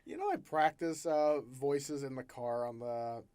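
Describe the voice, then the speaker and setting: A young man from the American South who speaks with no Southern twang at all. His talk is relaxed and rambling, full of fillers, restarts and easy laughter. The sound is close and dry, with no room echo. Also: mid-range voice, a little slow, clear, resonant tone. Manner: relaxed, chatty, laughs often, many fillers. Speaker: podcast host. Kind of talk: casual chat.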